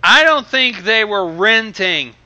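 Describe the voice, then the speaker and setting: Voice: mid-range voice, animated, slow, pushed and bright. A co-commentator on a livestream reading, chatting casually and reacting with playful remarks.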